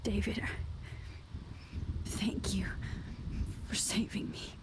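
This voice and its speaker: A woman's voice: medium pitch, level tone, very slow, whispered. A hesitant shaken teenage girl. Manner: hesitant, soft-spoken. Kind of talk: video game voice acting.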